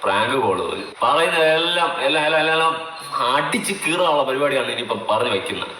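Guy with ranting sarcastically, talks fast, roomy far-off mic. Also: on the high side, expressive, bright, forceful tone. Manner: scolding, sarcastic. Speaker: reaction video commentator. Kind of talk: informal reaction commentary. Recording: roomy, distant mic, faint noise.

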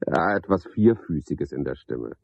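An actor playing a garrulous, pompous, sardonic porter at a boarding house, with a husky voice, in a radio play monologue. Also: male voice, extremely low pitch, animated, normal speed, a little mellow, no room sound, heavily acted. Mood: officious, wry. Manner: rambling, pompous monologue with mocking asides. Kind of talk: 1970s German radio play acting.

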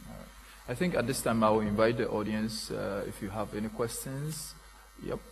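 A man's voice: on the low side, normal speed, poor audio. A man moderating a question session with brief casual prompts. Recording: mic with clear hum.

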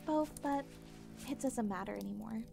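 A woman's voice: on the high side, moderate pace, vocal fry. A woman playing a timid, distressed, well-bred young heiress in a comic dub, earnest and polite. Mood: bitter, despondent. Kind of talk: comic dub character acting. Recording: voice over prominent music.

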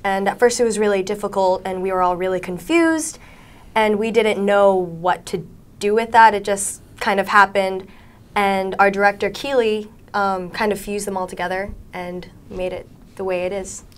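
Young woman, smooth clear voice, casual interview, medium pitch. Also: steady tone, moderate pace. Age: teens to young adult, high school student. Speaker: student cast member being interviewed.

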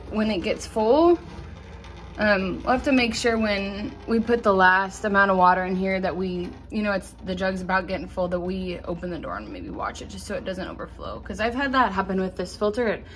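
A woman's voice: mid-range voice, animated, normal speed, a little hiss or hum. A woman, casually narrating a DIY project in her home kitchen on a handheld phone, rambling with lots of ums and restarts. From Nebraska, USA. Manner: chatty, rambling, many "um"s and restarts. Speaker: farm vlogger. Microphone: handheld phone camera.

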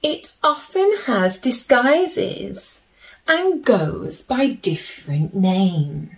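Slow-paced woman reading to children. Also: mid-range voice, sing-song, vocal fry. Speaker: children's story reader. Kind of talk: picture-book read-aloud with chatty asides to children. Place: small plain room indoors.

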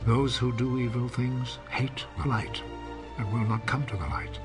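A man narrating a biblical film in a measured, solemn, scripted style. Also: mid-range voice, fairly even intonation, lightly acted. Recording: film soundtrack with music behind. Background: music.

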